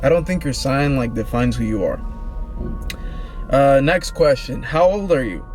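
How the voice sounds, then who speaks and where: A man in his twenties, vlogging in a relaxed, rambling, slangy way, answering questions about himself. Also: mid-range voice, unhurried, faint music behind. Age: 20s. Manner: laid-back, rambling, slangy. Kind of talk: casual get-to-know-me chat.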